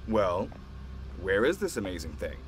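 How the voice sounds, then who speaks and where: Man acting very animated skeptical doctor. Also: low-pitched, a little slow, slight background noise, poor audio. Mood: impatient. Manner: incredulous, animated. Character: skeptical, excitable male doctor, old colleague. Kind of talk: audio drama acting.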